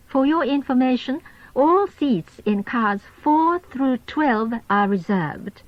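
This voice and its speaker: A woman reading scripted onboard train announcements in a formal, polite, measured manner on a lo-fi cassette recording. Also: a little high, no room sound. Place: recorded announcement played over train speakers. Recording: dull lo-fi cassette tape.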